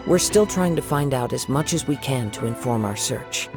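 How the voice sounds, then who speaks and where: A man voicing a calm young traveler in a video game, politely questioning people. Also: mid-range voice, normal speed, music in the background, natural voice. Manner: polite, steady. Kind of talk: game voice acting.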